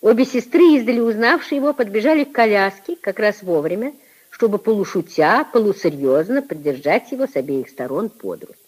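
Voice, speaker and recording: Husky, expressive woman narrator. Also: medium pitch, a little fast, low-quality recording. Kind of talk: literary narration in a 1950s radio play.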